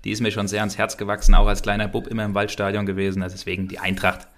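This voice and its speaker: Man, Hessian accent, rapid, filler-heavy casual talk. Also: on the low side, monotone, fairly bright tone. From Hesse. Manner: chatty, quick, many fillers. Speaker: podcast co-host. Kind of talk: casual football betting chat.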